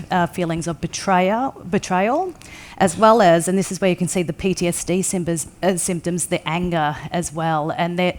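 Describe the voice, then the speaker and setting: A woman panellist explaining defence mental health support in earnest, with frequent fillers. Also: on the low side. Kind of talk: panel discussion answer.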